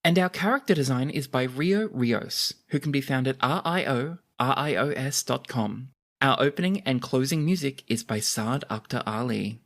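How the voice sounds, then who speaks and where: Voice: medium pitch, expressive, moderate pace, few pauses. A game master and host narrating a tabletop roleplaying podcast in a descriptive way, sometimes self-correcting.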